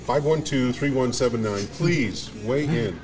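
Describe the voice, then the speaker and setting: A man's voice: medium pitch, a little slow, music in the background. A man hosting a casual radio talk show, blunt and emphatic, often repeating himself.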